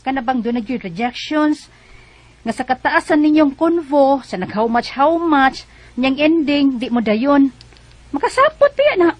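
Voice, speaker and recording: An actress playing a determined young single mother in a Bisaya radio drama, earnest and expressive, with a Cebuano accent. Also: high voice, dry recording. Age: young adult.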